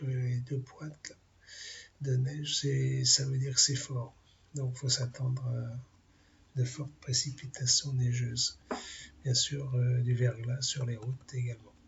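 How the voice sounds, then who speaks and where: A man with a cold and a French accent giving an informal weather briefing, halting and full of fillers as he talks through maps. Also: medium pitch, dull audio. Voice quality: gravelly, husky. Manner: halting, many fillers, thinking aloud over weather maps. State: has a cold, clears throat. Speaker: amateur weather forecaster.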